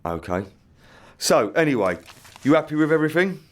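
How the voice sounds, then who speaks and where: A man's voice: on the high side, very animated, moderate pace. A man playing the commanding boss of an armed robbery gang in a comedy radio play, terse and in charge. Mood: terse, watchful.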